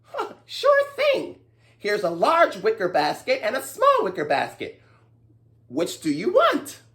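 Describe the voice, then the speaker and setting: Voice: mid-range voice, wildly swooping pitch, slow, fairly bright tone, pitched above the performer's own voice, exaggerated voice. A storyteller voicing a small, kind mouse from a folktale, polite and eager to oblige. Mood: obliging, nervous.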